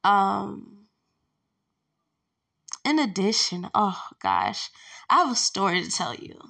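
A woman's voice: mid-range voice, wildly swooping pitch, takes it slowly, creaky, bright, forceful tone. A young woman hosting her own podcast, chatting candidly from home while congested with allergies and lying down, with loose fillers and laughs. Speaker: podcast host. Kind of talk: casual solo podcast chat.

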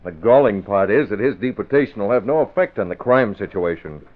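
An actor playing a serious, concerned young newspaper publisher in an old radio drama. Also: male voice, on the low side, expressive, brisk, lightly acted. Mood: grave. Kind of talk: 1950s radio drama acting. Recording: tinny old radio recording.

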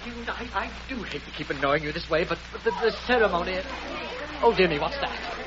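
An actor playing a timid, elderly minister who apologizes and stammers. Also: male voice, sits high, animated, fairly quick. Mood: flustered. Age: older. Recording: muffled radio recording with loud crowd noise.

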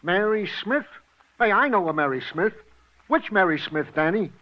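An actor playing a cheerful, slow-witted police sergeant who talks in an eager, repetitive, breezy way in an old radio drama. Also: male voice, high voice, animated, very noisy. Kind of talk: 1940s radio acting. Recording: dull old radio recording.

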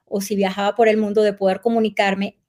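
A Venezuelan woman in her forties, a journalist being interviewed, recalling her path in a reflective storytelling way and pausing to search for words. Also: medium pitch, flat delivery, a little mellow. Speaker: guest journalist. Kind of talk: relaxed conversational interview.

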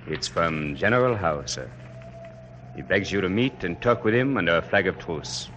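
An actor playing an earnest, loyal young French general in an old radio drama, speaking formally. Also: male voice, deep voice, lively intonation, normal speed, fairly bright tone, muffled recording, faint music behind. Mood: solemn. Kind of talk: 1940s radio drama acting.

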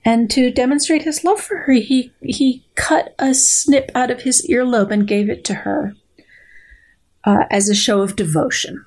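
A middle-aged woman, a guest scientist, reads a research paper aloud and explains it in conversation with a precise, playful, deliberate manner. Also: fairly high pitch, a little mellow. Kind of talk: dramatic reading and explanatory conversation.

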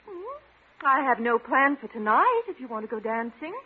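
A well-to-do American lady, coy and gracious, from a vintage radio comedy. Her pitch sweeps freely as she flirts with poise. The recording is narrow and phone-like. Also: very animated, moderate pace, breathy edge, heavily acted. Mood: coy, inviting. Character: charming, coquettish, well-to-do lady, gracious and forward. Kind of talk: 1950s radio situation comedy acting. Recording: tinny, narrow-band old radio recording.

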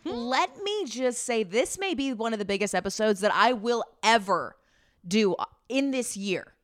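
A woman co-hosting a casual podcast chat with a slightly raspy voice, telling stories playfully. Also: a little high, expressive, normal speed. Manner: playful storyteller, calls others "sweetie". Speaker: podcast co-host. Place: in-person studio or room in Los Angeles.

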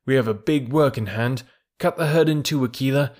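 A man's voice: medium pitch, a little fast, darkish tone, voice pushed up, natural voice. A man voicing a bold young jungle boy who gives orders eagerly. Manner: eager, commanding calls.

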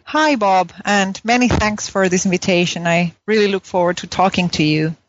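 A woman's voice: medium pitch, normal speed, lo-fi sound. A woman from southern Chile, a native Spanish speaker with a Chilean accent, talking conversationally as an academic interview guest. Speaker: academic guest, philosophy professor. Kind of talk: conversational interview, telling own story.

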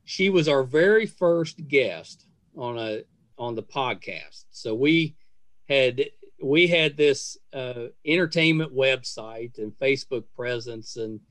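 A man's voice: medium pitch, animated, takes it slowly, a bit bright, no echo. A middle-aged man hosting a podcast in a casual conversational interview style, effusive and full of praise. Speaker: podcast host.

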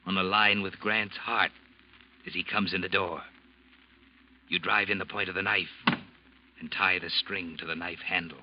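An actor playing an ominous, insinuating narrator who taunts a guilty schemer in old-time radio suspense style. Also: male voice, low-pitched, background noise. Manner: insinuating, taunting second-person address. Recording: muffled old radio recording with loud music.

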